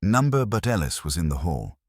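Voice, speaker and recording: A man voicing a nervous, evasive female office worker in a detective audiobook, hesitant and halting. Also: low-pitched, sing-song, no room sound, studio quality, voice pushed up.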